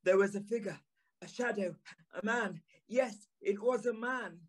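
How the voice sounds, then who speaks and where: A man playing a troubled, earnest gentleman in an audio play, speaking in a deliberate, dramatic manner. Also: sits high, heavy background noise. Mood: panicked. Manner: deliberate, dramatic, halting repetitions. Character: troubled gentleman narrator, earnest, haunted by a nightmare.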